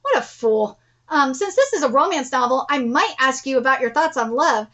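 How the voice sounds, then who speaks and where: Woman doing a bright, smug girl schemer. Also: high-pitched, lively intonation, moderate pace, highs cut off, voice pushed down. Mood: sly. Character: scheming, self-styled girl investigator, theatrical and smug.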